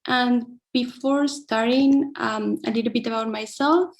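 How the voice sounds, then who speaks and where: A woman's voice: on the high side, steady tone, a little slow. Young woman from Argentina giving a talk. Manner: careful, with frequent fillers and restarts. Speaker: invited keynote speaker. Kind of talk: academic talk.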